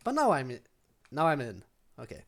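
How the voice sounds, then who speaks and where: Game streamer, mid-range pitch, casual off-the-cuff play-by-play, talking to self and to on-screen enemies. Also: huge pitch swings, a little fast, gravelly. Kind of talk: casual live gameplay commentary.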